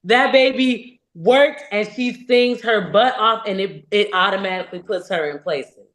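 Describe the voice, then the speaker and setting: A young woman, a singer, sits in as a podcast guest and talks with candid, animated energy. Her voice is of middle pitch and rings in a roomy, echoey space. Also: a little slow. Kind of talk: casual interview chat. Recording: echoey room.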